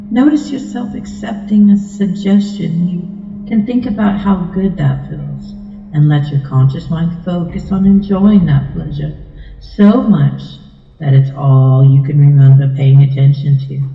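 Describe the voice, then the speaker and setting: A woman delivers a hypnosis script in a very dark, mellow voice. She coaxes soothingly, repeating her suggestions as in ASMR. Her voice is muffled and swims in long, echoing reverb. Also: lowish voice. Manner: soothing, coaxing, repetitive trance patter.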